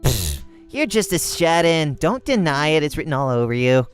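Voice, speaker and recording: A man's voice: on the high side, big pitch swings, normal speed, faint music behind. A man voicing a cocky, teasing young male pro gamer in a visual novel, brash and taunting.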